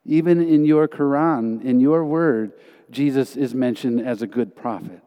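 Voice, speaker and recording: A man's voice: medium pitch, unhurried, dark tone. A man giving an earnest, emphatic testimony in a church hall, telling stories from his missionary teaching. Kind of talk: sermon testimony. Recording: reverberant hall.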